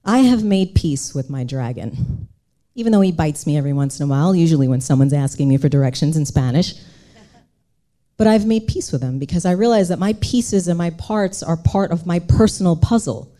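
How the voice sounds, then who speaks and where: A woman's voice: sits low, animated, fairly quick, dark tone. A woman telling a personal story on stage at a live event, playful and earnest by turns.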